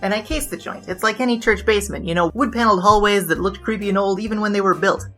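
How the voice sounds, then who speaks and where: Woman acting a fast-talking, brash lady bandit. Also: mid-range voice, sharp tone, close to an everyday voice. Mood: brisk storytelling. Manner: glib, digressive, argumentative. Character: brash, chatty, flirtatious female bandit king confessing her crimes. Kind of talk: comedic noir audio drama acting. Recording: music bed under the voice.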